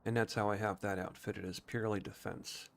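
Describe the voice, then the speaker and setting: A man's voice: on the low side, flat delivery, brisk, slight background noise. A man giving casual, explanatory video game commentary, talking informally and thinking aloud as a streamer.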